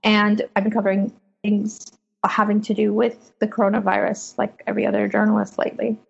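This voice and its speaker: A woman from Afghanistan, a native Persian speaker, speaking as a journalist guest in a measured, reflective way from her home office. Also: mid-range voice, monotone, vocal fry, slightly rough. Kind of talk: interview over remote link. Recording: muffled lo-fi remote audio.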